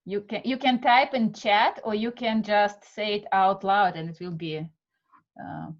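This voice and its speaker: Slow-talking Russian woman teaching online. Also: mid-range voice, fairly even intonation, dull audio. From Siberia, Russia. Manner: halting, many fillers, warm welcomes. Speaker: art method instructor. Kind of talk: live online class introduction. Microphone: video call.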